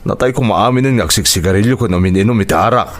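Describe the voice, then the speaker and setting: A man's voice: fairly low pitch, fast, lightly acted. A man with an Ilocano accent playing a hot-tempered, stubborn father of a poor family in a radio drama, irritable and argumentative. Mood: irritable, grumbling. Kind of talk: Filipino radio drama acting.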